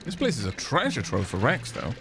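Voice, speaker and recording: A man's voice: mid-range voice, wildly swooping pitch, fairly quick, music in the background. Wry man streaming a game. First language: Welsh. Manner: chatty, wry, talks to chat. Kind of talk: casual gameplay commentary.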